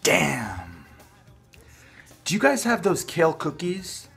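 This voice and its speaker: A young man making a prank phone call from a room at home, asking questions in a deadpan, mock-earnest way. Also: on the high side, slow, creaky, husky, soft background music. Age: young adult. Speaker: prank caller, video host. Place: small furnished room at home, indoors.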